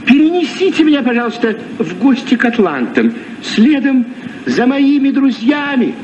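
Very high-voiced polite traveller. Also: male voice, very animated, normal speed, a little mellow, slight background noise. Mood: courteous. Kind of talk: Soviet radio drama acting. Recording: muffled old radio recording.

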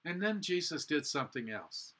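A man in his sixties preaching and praying as a pastor, earnest and deliberate, in short measured phrases. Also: a little high, big pitch swings, pushed and bright. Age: 60s. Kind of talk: sermon and prayer. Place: indoor room with a cross, small chapel or office.

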